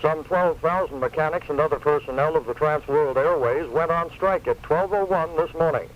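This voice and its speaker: A male newscaster with a fairly high voice reads scripted bulletins. The sound is a dull AM radio broadcast caught on cassette, with a little noise behind it. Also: moderate pace. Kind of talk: scripted news reading.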